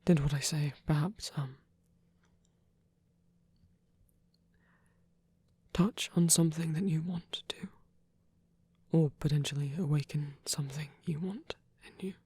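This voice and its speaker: A performer voicing a gentle, shy, protective male vampire priest in an intimate ASMR roleplay, soft and breathy, speaking in hesitant, tender phrases. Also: mid-range voice, normal speed, dry recording. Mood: gentle, coaxing.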